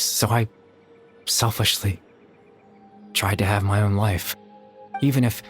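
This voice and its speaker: Guy doing a wistful young game character, lowish voice, soft and thoughtful, music behind. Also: close to an everyday voice. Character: wistful young man, imagined grown form of a lost child. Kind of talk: video game voice acting.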